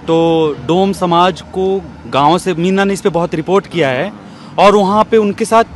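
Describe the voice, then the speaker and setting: High-pitched insistent interviewer, male. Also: moderate pace. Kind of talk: conversational interjection with English words mixed in. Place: outdoor bench in a public square. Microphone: handheld interview mic. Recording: outdoor street ambience with background noise.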